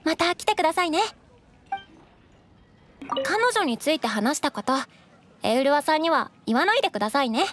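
An actress playing a polite, earnest young waitress in a Japanese game, kindly and measured in her speech. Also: high voice, very animated, background noise. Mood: earnest, gentle. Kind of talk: Japanese anime game voice acting.

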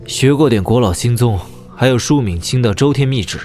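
A man's voice: medium pitch, expressive, a little fast, a little mellow, soft background music, natural voice. A man acting a thoughtful, wry young martial hero in a Chinese audio drama, given to sighing and musing. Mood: puzzled.

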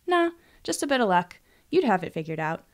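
A woman's voice: mid-range voice, sing-song, brisk. A woman voicing a wry, unimpressed young student barista in an audiobook, with a dry, offhand manner.

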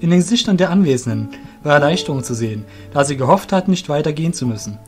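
A man's voice: on the high side, lively intonation, quick pace. A man reads scripted story narration for an audio drama. He acts as narrator, telling the events in prose. Faint plucked guitar music plays behind him.

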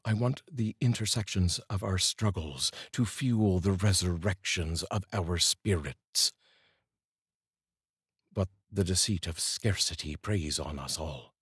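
Deep, very husky middle-aged man. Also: takes it slowly, no room sound, studio quality. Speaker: host and voice-over reader. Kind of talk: solemn, measured poetry reading and personal address to viewers. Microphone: large studio microphone, up close.

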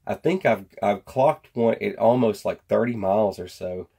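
A man's voice: lowish voice, moderate pace, vocal fry, warm tone, husky. An American man giving a casual, rambling product chat to camera in folksy slang. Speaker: survival gear vlogger. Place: indoor workshop at a workbench.

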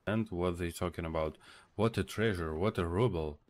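A young man who is not a native English speaker, giving casual gameplay commentary in short, halting remarks. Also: sits low. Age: young adult. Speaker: video game streamer.

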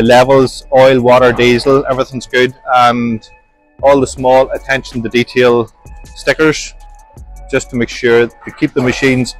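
A man's voice: mid-range voice, low-quality recording. Middle-aged man, practical walk-through guide, plain explaining manner, faint music behind. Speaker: workshop manager giving a tour. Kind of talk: walking tour explanation. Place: large industrial workshop indoors.

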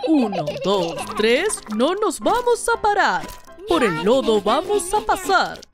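A lively cartoon animal, high-pitched and emphatic. The rhymes bounce up and down in a singsong way over loud music. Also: female voice, slow, full character voice. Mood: commanding. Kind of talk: children's cartoon dubbing, rhymed lines.

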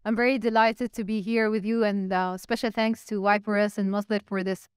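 A young woman moderating a live panel on stage with a handheld mic, engaging the audience with quick polls. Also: mid-range voice, level tone, smooth, no echo, studio quality. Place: stage in a large event hall.

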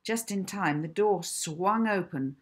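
A woman in her fifties reading her own children's book aloud as an expressive, deliberate storyteller. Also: on the low side, slightly rough. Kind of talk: children's audiobook narration. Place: small indoor room at home.

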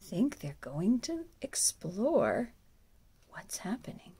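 A woman reading a picture book aloud to young children, often hushed, with exaggerated wonder. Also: medium pitch, wildly swooping pitch, slow, husky. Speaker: storyteller and children's music teacher.

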